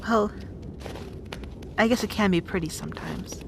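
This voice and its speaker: A woman's voice: medium pitch, moderate pace, gravelly, background noise, close to an everyday voice. A woman playing a brusque, guarded young rat princess in an audio roleplay drama, bossy and conversational. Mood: casual, amused.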